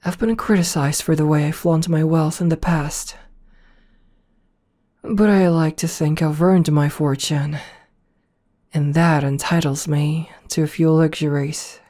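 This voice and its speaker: A woman playing a rich, possessive, seductive female CEO in an ASMR roleplay, softly formal and coaxing, with a politely commanding manner. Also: low voice, fairly even intonation. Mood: politely attentive. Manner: slow, softly formal, coaxing with pet names.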